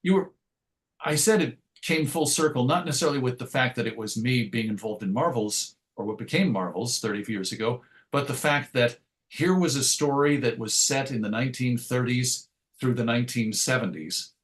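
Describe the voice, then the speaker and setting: A man's voice: medium pitch, normal speed, a bit bright. A middle-aged man with a mid-range voice speaks as an interview guest. He is articulate and reflective, choosing his words with care. His delivery is conversational and modest, with a self-deprecating streak. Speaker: interview guest, writer and radio show host.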